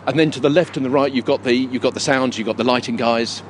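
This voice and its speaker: A man's voice: medium pitch, fairly even intonation, fast talker. A man hosting a podcast on location, chatting and describing his surroundings as he goes. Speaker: podcast host. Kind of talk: on-location podcast presenting and interviewing. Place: on location at a big top tent in a park. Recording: generator hum and outdoor background noise. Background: faint generator and ambient noise.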